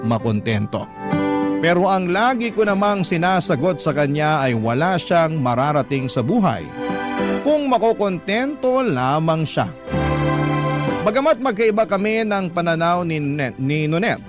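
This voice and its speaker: A man's voice: a little high, big pitch swings, a little slow. A man with a Filipino accent narrating a first-person story as a radio host reading out a letter. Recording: loud guitar music under voice. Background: guitar music.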